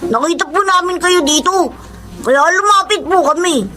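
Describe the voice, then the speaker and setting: A plucky orphaned street kid in a radio drama. The voice is very high and bright, with childlike earnestness. Faint music sits behind it. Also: female voice, moderate pace. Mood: eager, polite. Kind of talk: Tagalog radio drama acting.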